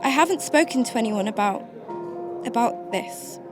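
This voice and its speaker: High-voiced grieving mother played by an actress, emotional. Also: expressive, lightly acted. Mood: tearful, distraught. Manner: halting, emotional delivery with broken sentences. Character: grieving mother searching homeless shelters for missing husband. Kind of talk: radio drama acting and first-person narration. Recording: music under the voice.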